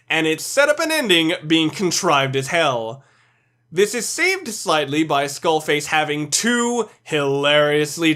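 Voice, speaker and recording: A man's voice: fairly high pitch, fairly bright tone. A man delivering scripted, opinionated video commentary as a countdown reviewer, blunt and sprinkled with swearing.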